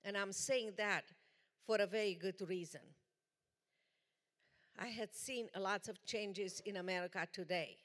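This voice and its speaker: A woman from Romania with a Romanian accent, giving an earnest, deliberate personal testimony as a guest speaker in a church. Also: medium pitch, a bit bright. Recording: long church hall reverb.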